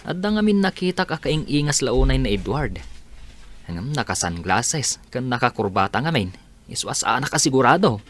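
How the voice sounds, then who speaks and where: A man's voice: medium pitch, very animated, normal speed, a bit bright. A man playing a puzzled, insistent young working man in an Ilocano comedy radio drama, musing and questioning. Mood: curious, respectful.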